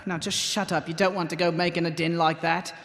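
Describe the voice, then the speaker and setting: A man's voice: a little high, flat delivery, moderate pace, fairly bright tone. A man playing a grumpy, cynical city watchman in live audio theatre, grumbling in colloquial, exasperated speech. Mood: weary grumbling. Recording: hall reverb, noisy live stage recording.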